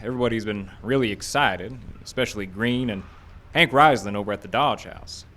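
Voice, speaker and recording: An actor playing a friendly, talkative town doctor in a live old time radio western. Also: male voice, fairly low pitch, brisk, fairly bright tone, close to an everyday voice. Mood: earnest, insistent. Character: friendly, chatty frontier town doctor, a man.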